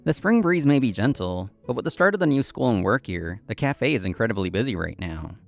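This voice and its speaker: A man's voice: on the low side, sing-song, brisk, creaky, soft background music. A man playing an earnest, polite young café assistant manager, narrating his own story in scripted first person. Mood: earnest, reflective. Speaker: narrator and lead actor of a manga story video.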